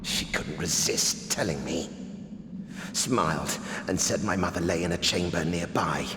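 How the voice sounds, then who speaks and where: Low gravelly chained prince in a fantasy game, desperate n weak, big echoey noisy recording. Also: male voice, a bit bright. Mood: bitter, pained. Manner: halting, solemn, theatrical. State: weakened, short of breath. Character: captive prince, chained and tortured, desperate, grieving, weakened. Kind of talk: dramatic video game cutscene acting. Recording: noisy, echoing cavernous reverb.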